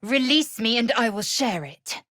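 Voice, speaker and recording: A woman's voice: a little high, expressive, a little slow, fairly bright tone, dry recording, studio quality. A woman playing a fierce, proud alien warrior in a video game, haughty and clipped, with formal, disdainful phrasing. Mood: sharp, scornful. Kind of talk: video game character voice lines.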